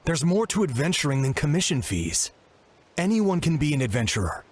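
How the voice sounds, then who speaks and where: Lively, upbeat young adventurer. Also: male voice, on the high side, a little fast, a little hiss or hum. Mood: encouraging. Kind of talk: video game voice acting.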